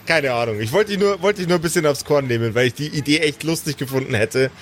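A man with a slightly high voice leads a tabletop role-play as game master. He is casual and teasing, and faint noise sits behind him. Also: quick pace. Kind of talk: casual improvised tabletop narration and banter.

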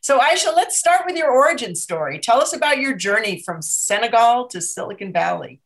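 A woman's voice: a little high, animated, a little fast, fairly bright tone. A middle-aged woman interviewer giving an eager, upbeat introduction on stage. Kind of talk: onstage conversation.

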